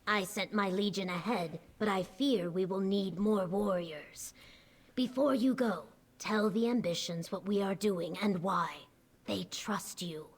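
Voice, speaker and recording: A woman voicing a steadfast female lizard-folk legion commander in a video game, measured, solemn and formal. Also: medium pitch, husky. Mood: grave, resolute. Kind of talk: video game character acting.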